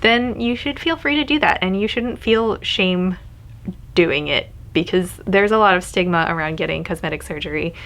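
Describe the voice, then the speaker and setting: A woman's voice: lowish voice, moderate pace, fairly bright tone. Chatty candid young woman vlogger. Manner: candid, chatty, laughs often. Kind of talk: casual personal vlog talk.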